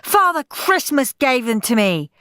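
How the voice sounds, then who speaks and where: A woman's voice: high voice, slow, no echo, clean studio sound, voice pushed up, full character voice. A woman voicing a mischievous, greedy young boy in a storybook reading. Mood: gleeful shouting. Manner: excited, scheming.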